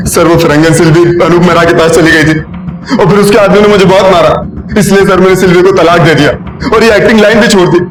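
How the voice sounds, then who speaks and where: A man's voice: sits high, quick pace, lightly acted. An Indian man playing a cornered suspect under interrogation in a TV crime drama, pleading, defensive and deferential. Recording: echoey room, loud dramatic music.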